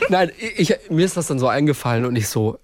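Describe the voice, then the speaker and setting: A man's voice: on the high side, fast, no room sound. A young man speaking as a podcast co-host, informal and slangy, acting out his own thoughts as he talks. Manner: animated retelling with self-quotes and slang. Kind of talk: casual banter between co-hosts.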